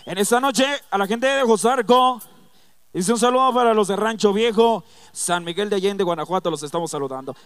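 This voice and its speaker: A man onstage at a live concert, calling out greetings to people and towns in a loud, chanted delivery. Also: sits high, a little fast, clear, resonant tone, no echo, studio quality. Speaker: stage announcer reading shout-outs. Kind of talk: live concert shout-outs. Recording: live band playing behind. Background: live band music.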